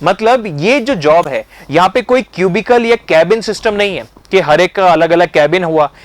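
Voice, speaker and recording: High-pitched Indian man teaching methodically. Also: expressive, normal speed. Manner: methodical, explains step by step, repeats check phrases; mixes English terms into speech. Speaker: instructor explaining a career. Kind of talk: explanatory online lesson.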